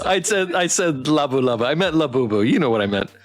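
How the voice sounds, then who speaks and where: A man hosting a casual game livestream, reading text aloud and chatting with playful, expressive reactions. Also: fairly high pitch, fairly quick, faint music behind. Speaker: game streamer and host.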